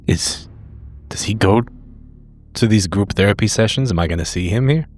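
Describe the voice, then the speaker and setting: A very low-voiced, suspicious investigator, played by a man, curt and blunt in a horror roleplaying game. Faint music runs behind him. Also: moderate pace, a little mellow, lightly acted. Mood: guarded, curt. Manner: blunt, terse, swearing. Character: gruff, wary, blunt male investigator Reed.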